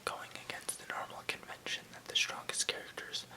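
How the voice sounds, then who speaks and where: An ASMR host reading an encyclopedia article aloud in a whisper, measured, with the odd stumble over a word. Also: bright, forceful tone, poor audio. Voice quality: unvoiced whisper.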